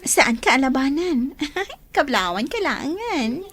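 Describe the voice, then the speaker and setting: A woman's voice: sits high, big pitch swings, slow pace, fairly bright tone, dry recording, exaggerated voice. A woman playing a catty, scheming young student daughter in a Filipino radio drama. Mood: sly, sweet. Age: young adult.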